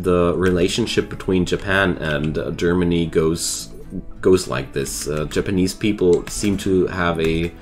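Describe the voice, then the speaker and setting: A man who is not a native English speaker, chatting casually and rambling with viewers while streaming a game. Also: low-pitched. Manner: rambling and chatty, restarts thoughts.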